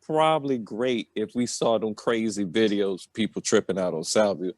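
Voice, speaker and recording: A man's voice: lowish voice, moderate pace, very noisy. A man telling a story on a podcast in a casual, rambling, slangy way. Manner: colloquial, slangy.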